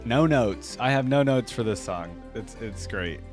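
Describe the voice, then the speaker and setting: A man's voice: mid-range voice, lively intonation, measured pace, over music. A man giving casual, informal reaction commentary as a video host, musing aloud as he goes.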